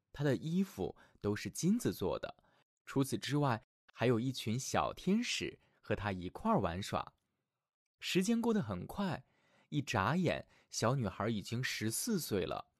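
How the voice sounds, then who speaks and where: Male storyteller, fairy-tale narration for kids, expressive, very varied intonation, close dry mic. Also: medium pitch, dry recording. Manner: expressive, addresses child listener affectionately. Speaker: children's storyteller, host. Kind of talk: scripted fairy-tale narration for small children.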